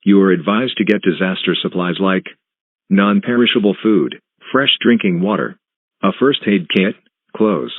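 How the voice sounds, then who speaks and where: A man reading a scripted emergency broadcast announcement in a formal, flat, instructional manner. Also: low-pitched, husky. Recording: tinny phone-like band, echoing.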